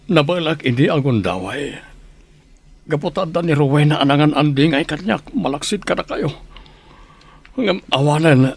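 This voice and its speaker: A man's voice on the high side, with an Ilocano Filipino accent. He acts a wounded lover confiding his hurt in an audio drama. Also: animated, normal speed, creaky, long pauses, breathy edge, exaggerated voice. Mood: sorrowful, resentful. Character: heartsick young man in love, confiding his hurt.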